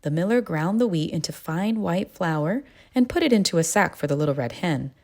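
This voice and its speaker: A woman reading a children's storybook aloud with expressive, deliberate storytelling. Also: on the low side. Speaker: storybook narrator. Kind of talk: scripted children's read-aloud narration.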